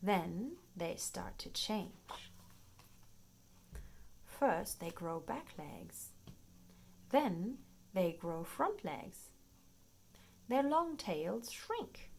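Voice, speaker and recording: A woman narrates a children's picture book in a playful read-aloud style. Her pace is slow and her pitch moves in lively swoops, with some creak in the voice. There is faint noise behind her. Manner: expressive, playful, clearly paced for young children. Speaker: children's storybook reader.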